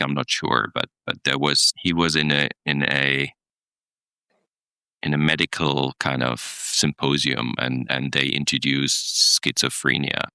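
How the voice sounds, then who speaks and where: A man's voice: rumbling low, vocal fry, pushed and bright, smooth, no room sound. A middle-aged man, telling stories thoughtfully as a podcast guest, with many restarts and small chuckles. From Germany. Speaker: guest, executive coach. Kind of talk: podcast interview, reflective storytelling.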